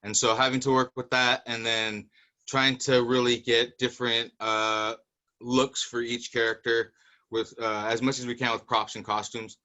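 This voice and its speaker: A man's voice: mid-range voice, flat delivery, normal speed, sharp tone. A man, an actor, giving a casual, loosely strung answer on a panel over a dull video-call line.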